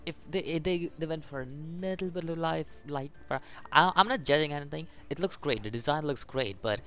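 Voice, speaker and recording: Young man reading game text haltingly. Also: fairly high pitch, bright, forceful tone, soft background music. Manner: halting reading, stumbles over words. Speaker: gaming YouTuber. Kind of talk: casual let's play, reading game text aloud with commentary.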